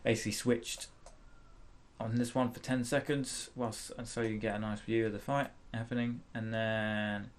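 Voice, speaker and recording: A man hosting a casual software tutorial, thinking aloud hesitantly as he demonstrates. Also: fairly low pitch, flat delivery, normal speed, a little hiss or hum. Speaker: tutorial host.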